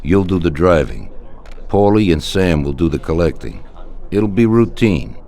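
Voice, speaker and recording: An actor playing a commanding American mafia boss in a video game, measured and authoritative. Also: male voice, low-pitched, sing-song, mellow, heavily acted. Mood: businesslike. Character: commanding, self-assured male mafia boss. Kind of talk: dramatic game cutscene acting.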